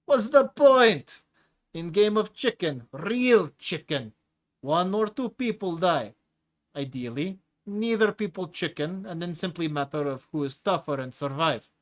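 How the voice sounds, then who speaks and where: A cocky, hulking clawed prisoner growls out broken English in a thick accent, as a male narrator puts him on. His pitch swings wide when he gets worked up, and he savors every boast. A storybook creature voice. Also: expressive, unhurried, natural voice. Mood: exuberant. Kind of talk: audiobook character voice.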